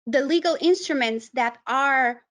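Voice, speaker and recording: A woman with a Romanian accent presenting an educational webinar, explaining things in a halting way with frequent pauses mid-sentence. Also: sits high, fairly bright tone. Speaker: webinar presenter, human rights advocate.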